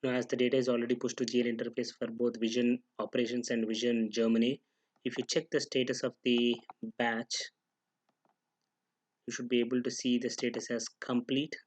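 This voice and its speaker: Flat-toned man narrating a software tutorial. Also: mid-range voice, a little fast, breathy edge, dry recording. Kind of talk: unscripted step-by-step screen tutorial narration.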